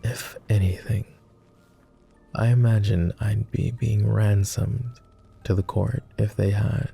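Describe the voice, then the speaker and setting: A man's voice: on the low side, steady tone, takes it slowly, gravelly, dark tone, breathy edge, faint music behind, full character voice. A man voicing a devoted, world-weary immortal vampire companion in intimate roleplay ASMR, speaking tenderly and earnestly in formal phrasing. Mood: wistful, earnest. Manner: tender, earnest, hesitant, somewhat formal speech.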